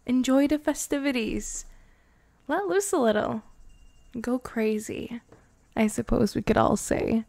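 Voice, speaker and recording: A woman voicing a devoted vampire girlfriend in an intimate ASMR roleplay, breathy and often slipping into a whisper. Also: on the high side, no room sound. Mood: tender, gracious. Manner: slow, intimate, hushed, sighing. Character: devoted, lovestruck vampire bride, tipsy and intimate.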